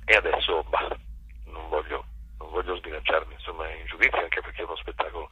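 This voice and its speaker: A man with a cold, explaining reflectively and haltingly as an interview guest. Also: a bass voice, little pitch movement, slightly rough, dry recording, phone-quality sound. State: has a cold. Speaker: author and actor guest.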